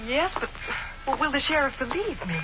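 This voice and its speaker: A woman's voice: sits high, big pitch swings, normal speed, muffled recording. An actress playing an earnest, eager young woman in 1940s radio acting. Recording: old radio recording with hiss.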